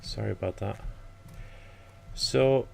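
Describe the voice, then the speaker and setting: A man with a croaky voice casually commentating gameplay, rambling and thinking aloud. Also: fairly low pitch, slow, darkish tone, slight background noise. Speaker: let's play commentator.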